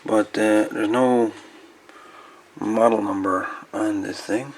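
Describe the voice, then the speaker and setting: A man with a creaky, gravelly voice gives casual, halting hands-on repair commentary, muttering to himself. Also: on the low side, steady tone, a little hiss or hum, poor audio. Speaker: host of a radio repair video.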